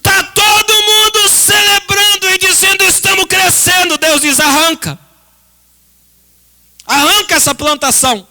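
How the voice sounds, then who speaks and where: A man's voice: extremely high pitch, steady tone, unhurried, bright, forceful tone, faint music behind. A Brazilian man, a pastor, preaching an impassioned church sermon in an emphatic, dramatic manner with much repetition. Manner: emphatic, dramatic, with rhetorical repetition and direct address to the congregation. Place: church service.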